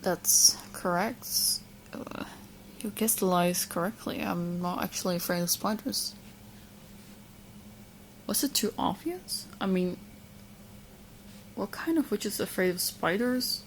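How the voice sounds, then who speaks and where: A woman playing a sweet, clingy, lovestruck young witch in a soft, intimate ASMR roleplay, speaking in hesitant, doting phrases. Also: mid-range voice, slow, pauses often, slight background noise, low-quality recording. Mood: resigned, offering.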